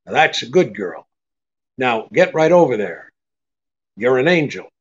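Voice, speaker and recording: An actor playing a cool, brisk, sardonic private detective in an old-time radio drama, with a clipped, take-charge manner. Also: male voice, medium pitch, big pitch swings. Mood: terse, urgent. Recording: dull lo-fi radio-play recording.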